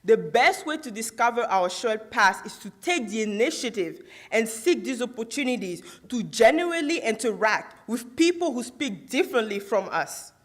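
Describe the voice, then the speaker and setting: A woman's voice: on the high side, sing-song, moderate pace, few pauses. A young woman from Côte d'Ivoire whose first language is Guro, giving a prepared competition speech on a theatre stage and putting questions to the audience. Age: young adult. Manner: rhetorical, asks the audience questions. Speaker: student orator.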